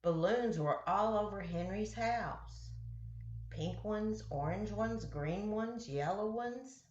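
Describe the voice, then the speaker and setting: Lady doing storytime for little kids, really slow n deliberate, echoey dull recording. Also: mid-range voice, darkish tone. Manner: deliberate, simple phrasing addressed to children. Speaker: children's storyteller reading picture books aloud. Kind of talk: read-aloud storytime for young children. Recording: roomy, echoey, dull lo-fi sound.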